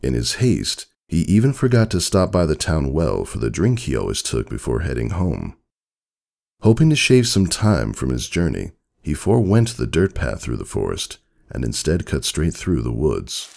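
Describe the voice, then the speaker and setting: A man giving a dramatic storybook narration in a measured, solemn, theatrical manner. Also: a bass voice, huge pitch swings, vocal fry, darkish tone.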